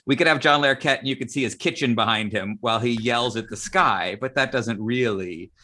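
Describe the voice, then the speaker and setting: A man's voice: mid-range voice, a little fast, sharp tone. A man hosting a casual discussion, speaking conversationally and building his thoughts in short pieces. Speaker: theatre company host. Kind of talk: casual live online discussion.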